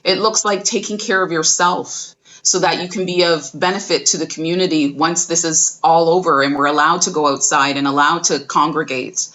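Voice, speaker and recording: A woman guest speaking slowly and encouragingly about community support. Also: low voice, steady tone, a bit bright. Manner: slow, measured, encouraging. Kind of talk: guest talk.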